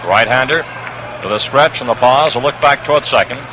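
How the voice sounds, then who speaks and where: An American man calling baseball play-by-play on 1960s radio, measured, with suspenseful pauses, on a noisy old broadcast recording. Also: mid-range voice, dull audio, music in the background. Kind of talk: 1960s radio sports commentary. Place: ballpark broadcast position. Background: crowd hum, noise.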